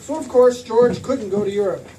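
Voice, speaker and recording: Guy as heavenly narrator, high voice, patient storytelling, radio play, noisy recording. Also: lightly acted. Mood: solemn. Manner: explanatory, measured storytelling. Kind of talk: old-time radio drama narration. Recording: noisy stage recording from far off.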